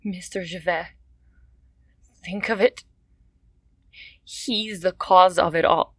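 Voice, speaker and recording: A woman's voice: on the low side, fairly even intonation, slow, fairly bright tone, slightly rough, dry recording, studio quality, heavily acted. An actress playing a desperate young mother in an audio drama, pleading and tearful. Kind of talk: radio drama acting.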